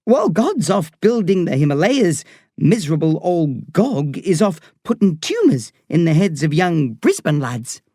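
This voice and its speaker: A reader voicing a blunt but kind woman doctor with an Irish accent, earthy and warm in her talk. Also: mid-range voice, sing-song, measured pace, dry recording, clean studio sound, pitched above the performer's own voice. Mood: wry. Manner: salty, earthy talk said with warmth. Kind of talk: audiobook character reading.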